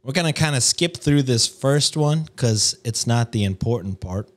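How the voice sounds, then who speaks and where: A man's voice: medium pitch, animated, normal speed. A male podcast co-host with a mid-range voice, chatting loosely and cracking jokes with his friends. He teases playfully, then settles in to read a story aloud. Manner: jokey, teasing, playful. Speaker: podcast co-host reading stories. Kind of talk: casual chat.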